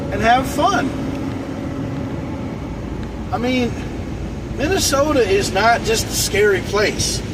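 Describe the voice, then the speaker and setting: A man in his forties from North Dakota, hosting a live stream in a rambling, repetitive casual monologue, often restarting and repeating phrases. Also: sits high. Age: 40s. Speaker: livestream host. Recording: noisy outdoor traffic behind. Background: car and street noise.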